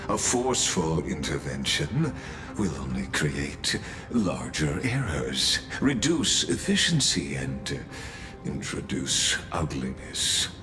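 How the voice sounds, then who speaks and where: An actor voicing a coldly polite, scheming villain in a video game, speaking in a pompous, formal and mocking way. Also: male voice, a bass voice, exaggerated voice. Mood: clinical, pompous. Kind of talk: dramatic video game voice acting. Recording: music behind the voice. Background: music.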